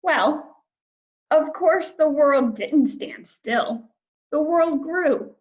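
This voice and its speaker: A woman's voice: sits high, expressive, extremely slow, muffled recording. A woman schoolteacher reading aloud and talking to young children in simple, emphatic phrases. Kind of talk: children's read-aloud and lesson.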